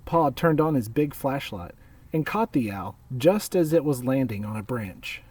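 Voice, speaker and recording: Male storybook reader, slow and measured, recorded a little way off the mic. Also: medium pitch, animated, darkish tone, recorded from a distance, a little hiss or hum. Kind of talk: read-aloud children's storytelling.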